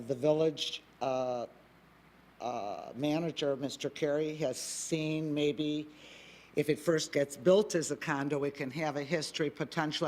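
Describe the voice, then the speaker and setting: A man speaking at a public planning meeting as a developer, halting, with many fillers and restarts. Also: a little high, a little mellow.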